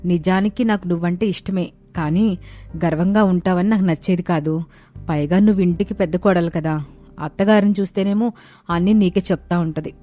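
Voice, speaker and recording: Over background music comes an earnest woman of an Indian household played by an actress, speaking in dramatic story dialogue. Her voice is on the low side and somewhat mellow. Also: a little fast, natural voice. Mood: apologetic. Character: earnest young Indian daughter-in-law and her scolding household women. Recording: music behind the voice.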